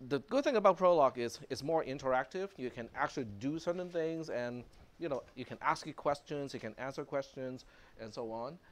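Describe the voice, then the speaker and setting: A man's voice: mid-range voice, fairly quick, a little hiss or hum. A man whose first language is Cantonese, giving a casual, chatty university computer science lecture in a classroom, with plenty of fillers. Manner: relaxed, chatty, lots of fillers, chuckles.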